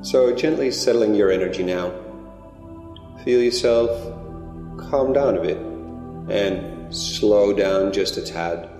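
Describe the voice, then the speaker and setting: A man leading a guided meditation in a slow, gentle, drawn-out way. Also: fairly low pitch. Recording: roomy reverberant recording with soft music. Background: new-age music.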